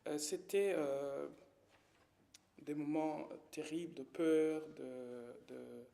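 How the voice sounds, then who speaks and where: Halting man with a gravelly voice. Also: a little high, fairly even intonation, warm tone. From Rwanda. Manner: halting, hesitant, many fillers. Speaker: witness. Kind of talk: personal testimony.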